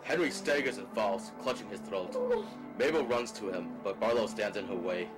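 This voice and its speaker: A man's voice: fairly low pitch, wildly swooping pitch, moderate pace, music in the background, poor audio. A man narrating a drama script, reading out scene action as scripted narration. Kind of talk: scripted narration of stage directions. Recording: clear background noise.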